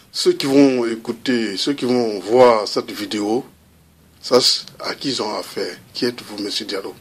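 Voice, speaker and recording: Husky-voiced interviewer, hesitant and pressing. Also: male voice, medium pitch. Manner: hesitant, many fillers, pressing questions. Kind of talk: video interview questions.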